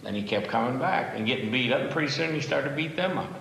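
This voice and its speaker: A man's voice: mid-range voice, expressive, fast talker, vocal fry, a bit bright. A man telling anecdotes informally in a documentary interview. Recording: very echoey room, slight noise.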